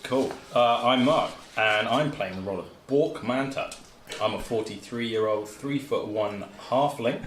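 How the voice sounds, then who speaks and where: A man's voice: mid-range voice, unhurried, slight background noise. A man playing a tabletop game, introducing his character in a casual, tongue-in-cheek way. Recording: echoey room, others chattering.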